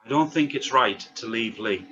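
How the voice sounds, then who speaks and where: A man acting a loyal, earnest survivor who pleads for a friend, in a table read, on a low-quality microphone. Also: moderate pace, sharp tone, soft background music, lightly acted. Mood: pleading. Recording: dull, noisy low-quality remote microphone.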